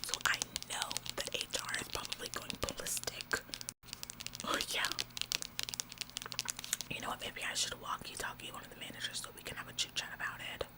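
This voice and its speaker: A woman's voice: moderate pace, pauses often, lightly acted, poor audio. A woman in a whispered ASMR roleplay, playing a bossy, officious store employee who repeats phrases for emphasis. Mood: self-important. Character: bossy, overzealous female retail store employee, falsely apologetic. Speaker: ASMR performer.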